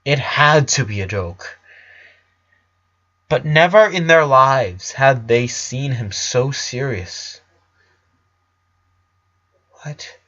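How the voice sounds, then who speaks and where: Guy reading a dramatic story out loud, real slow, kinda muffled lo-fi audio. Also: mid-range voice, animated. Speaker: narrator reading fan fiction. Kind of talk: dramatic story narration with character voices. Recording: dull lo-fi recording.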